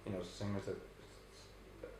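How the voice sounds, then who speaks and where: A man who sings in a rock band, with a low bass voice, answering questions in a relaxed, rambling way with many restarts. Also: normal speed, very echoey, a little hiss or hum. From Canada. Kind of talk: casual interview answers.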